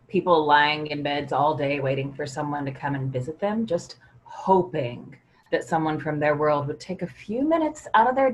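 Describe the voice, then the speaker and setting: Preachy woman actor, expressive and lowish. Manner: earnest, preachy. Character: self-assured, preachy woman who senses negative energy. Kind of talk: staged play reading.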